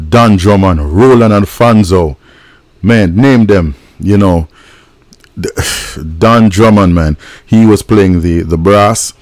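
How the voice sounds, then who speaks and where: A man's voice: low-pitched, darkish tone, no room sound. A middle-aged man from Jamaica, hosting a music reaction and telling stories in a laid-back, reflective way. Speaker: host of a music reaction channel. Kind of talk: casual reaction and storytelling.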